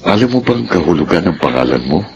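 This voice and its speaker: A man playing a stern but loving middle-aged father in a Filipino radio drama, speaking gravely and earnestly. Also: low-pitched, sing-song, fast talker, muffled recording. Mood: solemn, loving. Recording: noisy radio drama recording.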